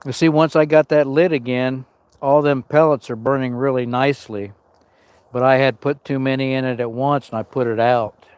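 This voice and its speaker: A man's voice: medium pitch, fairly even intonation, moderate pace, a little mellow, clean tone, dry recording. A man hosting a camping livestream outdoors in the cold woods, sniffling as he chats with viewers and greets them by name. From Arizona. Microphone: small mic worn on him.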